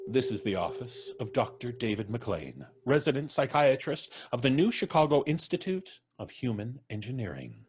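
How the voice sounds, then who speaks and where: A man hosting and announcing a live radio play in a slow, theatrical, drawn-out way. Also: mid-range voice, big pitch swings, breathy edge. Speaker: host and announcer. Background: live music.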